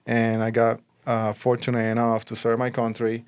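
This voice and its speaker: A man's voice: mid-range voice, completely flat delivery, normal speed, clear, resonant tone. A man in his forties from Puerto Rico, with a Puerto Rican accent, answering interview questions in a conversational way as a guest. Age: 40s. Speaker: interview guest, realtor and army veteran.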